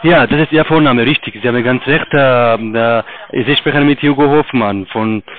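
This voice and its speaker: Foreign guy calling from a call center, mid-pitched, formal but hesitant, tinny loud phone line. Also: moderate pace. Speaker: call centre agent. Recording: loud narrow telephone line.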